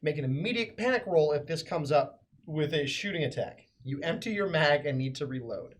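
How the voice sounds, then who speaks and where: A man's voice: a little high, animated, brisk. A man playing a tabletop roleplaying game, talking through the rules in casual chat. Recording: background noise.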